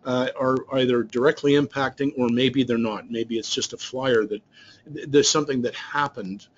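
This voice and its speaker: A man's voice: medium pitch, steady tone, normal speed. Man explaining slides, dull-sounding audio. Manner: loose, rambling explanation full of restarts and fillers. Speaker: business trainer. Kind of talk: explaining slides in a recorded webinar.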